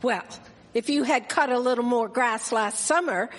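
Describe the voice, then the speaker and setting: A woman playing a nagging, exasperated farmer's wife in a live radio drama, scolding deliberately. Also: fairly high pitch, natural voice. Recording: echoey hall, live stage performance.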